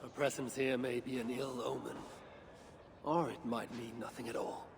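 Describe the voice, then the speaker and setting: An actor playing a proud, solemn Norse god and warrior in a video game, speaking in a grave, formal manner. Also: male voice, mid-range voice, flat delivery, normal speed, close to an everyday voice. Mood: grave, guarded. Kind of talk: video game cutscene acting. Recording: long reverb, clear background noise.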